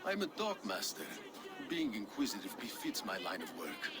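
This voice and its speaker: An actor playing a nosy, grasping dockmaster in a video game, brusque and sardonic. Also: male voice, lowish voice, wildly swooping pitch, normal speed, heavy background noise. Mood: prying, sly. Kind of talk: dramatic video game acting. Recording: busy outdoor crowd behind.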